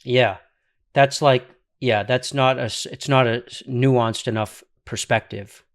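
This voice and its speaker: A man's voice: medium pitch, steady tone, measured pace. A man hosting a podcast interview, asking curious follow-up questions. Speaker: podcast host.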